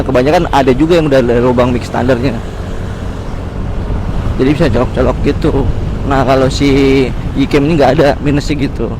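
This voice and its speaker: A man with a Jakarta Indonesian accent chatting casually and slangily to viewers while he rides a motorbike outdoors. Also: mid-range voice. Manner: informal, slangy, addressing viewers; talking while riding a motorbike. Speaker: motovlogger. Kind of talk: casual vlog chat. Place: outdoors on a motorbike on city roads. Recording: music behind, outdoor road and vehicle sounds. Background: music and vehicle noise.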